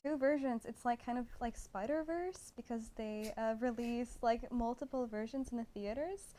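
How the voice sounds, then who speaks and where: Livestream panelist: woman with a slightly high voice, giggly and halting, informal. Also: dark tone. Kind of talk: casual livestream chat.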